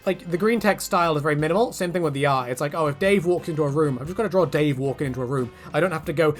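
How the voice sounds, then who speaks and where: Chatty man with a bouncy, lively voice. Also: racing, faint music behind. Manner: rambling and animated, jumping pitch for quoted asides. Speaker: YouTube creator answering fan questions. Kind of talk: casual Q&A monologue.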